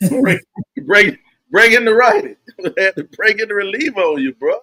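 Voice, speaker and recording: A man hosting a casual podcast, teasing his friends and spinning drawn-out jokes. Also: sits high, sing-song, pushed and bright, low-quality recording. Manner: playful, teasing, drawn-out storytelling. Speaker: podcast host. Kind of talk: casual joking banter.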